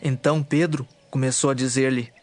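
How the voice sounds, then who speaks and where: A man's voice: medium pitch, steady tone, moderate pace, dry recording. A man with a Brazilian accent narrating scripted, dramatized scripture. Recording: clear music bed behind the voice.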